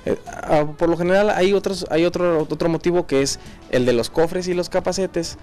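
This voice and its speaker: A Mexican man speaks as a workshop technician explaining his craft. His voice is slightly high, and his delivery is informal and somewhat halting. Faint music is heard behind him. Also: a little mellow. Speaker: auto body paint technician.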